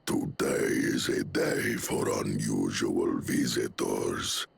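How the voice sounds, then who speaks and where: An actor playing a proud giant chieftain in a video game, speaking in a ceremonial, stately way. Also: male voice, rumbling low, fairly even intonation, slow pace, creaky, husky, soft background music, heavily acted.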